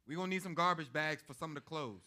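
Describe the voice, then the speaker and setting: A man's voice: fairly high pitch, quick pace, bright, forceful tone. A man playing an earnest, persuasive young man in a staged play reading, colloquial and pleading. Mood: earnest, urgent. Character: earnest, persuasive young man urging his sweetheart onward.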